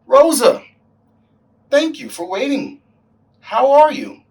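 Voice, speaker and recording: A man's voice: very high-pitched, lively intonation, takes it slowly, vocal fry, a little mellow, breathy edge, recorded from a distance, voice pushed up, heavily acted, poor audio. A man voicing a gracious congressman greeting an old friend in an exclaiming storybook style. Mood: delighted greeting.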